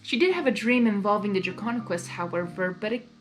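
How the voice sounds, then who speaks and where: A woman's voice: mid-range voice, lively intonation, fast, faint music behind. A woman narrating a story expressively, in the manner of an amateur reader who reacts as she goes. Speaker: fan fiction reader.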